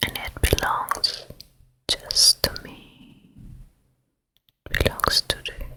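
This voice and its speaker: Whispering woman as a vain wicked queen. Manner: slow, deliberate, hushed, breathy whispering. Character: vain wicked fairytale queen, commanding and power-hungry. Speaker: ASMR performer. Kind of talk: whispered ASMR roleplay.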